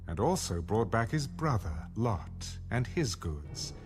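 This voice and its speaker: A man narrates scripture with a lively, varied pitch. Clear music plays behind his scripted reading, which is delivered as an audio Bible narration. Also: slow pace. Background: background music.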